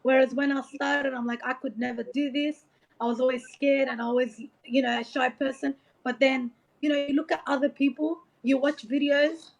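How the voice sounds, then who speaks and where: High-pitched, creaky-voiced woman. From Iraq. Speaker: motivational coach being interviewed. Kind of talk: informal motivational interview talk. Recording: dull, lo-fi audio.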